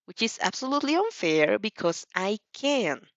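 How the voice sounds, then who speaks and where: Woman acting young student hero, mellow, dryly cocky, audio story, lively pitch, dry dull recording. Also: normal speed, no room sound. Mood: indignant. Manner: polite, dryly confident.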